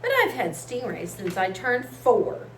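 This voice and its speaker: A woman voicing an excitable, affectionate little birthday girl in a storybook, gushing her announcements. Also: medium pitch, unhurried, natural voice. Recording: echoey room with faint hiss.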